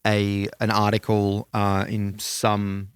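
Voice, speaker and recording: A man's voice: lowish voice, completely flat delivery, takes it slowly, clear, resonant tone, no echo, clean studio sound. An Australian man chatting casually as a podcast co-host, talkative and informal in his speech. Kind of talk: casual music podcast chat.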